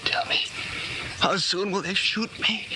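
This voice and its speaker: An actor playing a frightened, broken male prisoner in a 1940s radio drama, halting and desperate. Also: high voice. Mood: exhausted, fearful. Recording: noisy old 1940s radio broadcast.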